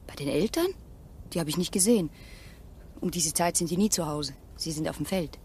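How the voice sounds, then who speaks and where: An actress playing a wary village wife in a German radio crime play. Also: female voice, sits low, brisk, mellow, a little hiss or hum.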